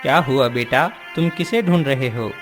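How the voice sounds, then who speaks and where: A man's voice: mid-range voice, moderate pace, over music, lightly acted. A man playing a poor, humble old father in a cartoon moral story, speaking in a tender, apologetic way. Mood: tender, sorrowful.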